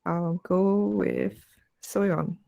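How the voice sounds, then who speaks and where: A woman from Malaysia with a Malaysian accent, introducing herself in a relaxed online chat. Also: on the low side, extremely slow, gravelly, no room sound, lo-fi sound. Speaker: musician, participant. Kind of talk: casual online group chat.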